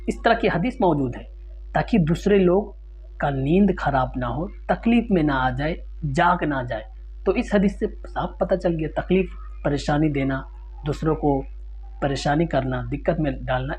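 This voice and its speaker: A man delivering an informal Islamic lecture, explaining didactically, citing sources and restating his points in simpler words. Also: fairly high pitch. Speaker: Islamic religious teacher answering a ruling question. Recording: dull lo-fi sound with loud hum.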